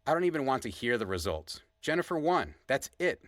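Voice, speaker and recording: A man's voice: mid-range voice, big pitch swings, brisk, fairly bright tone, dry recording, studio quality. A man acting as a blunt, exasperated podcast co-host in a conversational audio drama. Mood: indignant. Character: Sonny, fed-up, indignant male podcast co-host.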